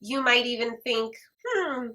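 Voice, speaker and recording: A woman's voice: a little high, animated, measured pace. A woman with a congested, stuffy-nosed voice, earnestly talking to camera as a homeschooling mom. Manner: earnest, gentle, encouraging. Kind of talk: personal talk to camera.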